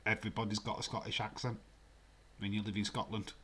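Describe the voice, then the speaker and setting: A man's voice: on the low side, flat delivery, fast talker, a bit bright, a little hiss or hum. A man with a Yorkshire accent hosting a live stream, chatting casually and teasing his chat, laughing often and sometimes dropping to a near whisper. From Yorkshire, England.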